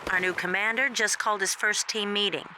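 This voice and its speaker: A woman playing a composed, friendly young mission officer, conversational and briskly informative. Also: mid-range voice, fairly even intonation, noisy background, lightly acted. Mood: composed, amused.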